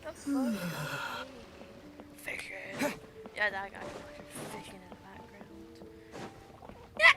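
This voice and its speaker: A woman streaming a video game, commenting casually. She talks slowly at a medium pitch with expressive swings. Loud game music plays behind her. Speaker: gaming streamer. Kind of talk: casual reactions while playing a game.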